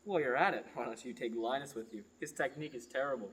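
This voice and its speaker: A man's voice: mid-range voice, quick pace, a bit bright, natural voice. A man playing a dry, stern, scheming archer companion in a radio play. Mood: wry, persuasive.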